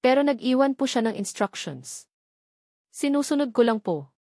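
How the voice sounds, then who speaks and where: A patient, courteous gardener in his late thirties, acted out by a woman narrator for a romance audiobook. He explains himself calmly and respectfully. The sound is dry, with hardly any room. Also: sits high, normal speed, no echo, pitched above the performer's own voice. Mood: calm, polite. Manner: calm, respectful, soft-spoken explaining.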